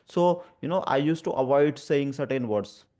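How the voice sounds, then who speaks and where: A man with an Indian accent speaks conversationally in a mid-pitched voice. He stammers, repeating sounds and pausing often, with halting, earnest phrasing as he shares his experience as an advocate. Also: expressive, dark tone. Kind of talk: personal talk about lived experience, conversational.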